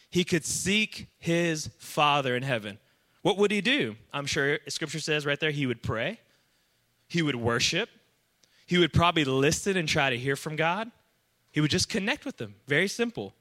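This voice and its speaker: Bright-voiced male pastor, slightly high, energetic and chatty, asks the crowd for responses. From Iran. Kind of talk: sermon to a young adult congregation.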